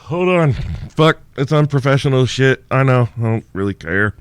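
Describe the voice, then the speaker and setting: A man's voice: medium pitch, lively intonation, moderate pace, clear, resonant tone, dry recording. A male podcast host answering listener questions in a crude, irreverent, rambling way, sounding sleepy and tired.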